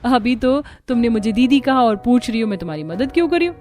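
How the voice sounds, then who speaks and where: A woman's voice: on the high side, sing-song, fast talker, a little mellow, faint music behind. A woman voicing a kind, helpful young sister-in-law in a cartoon, friendly and reassuring. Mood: caring, teasing.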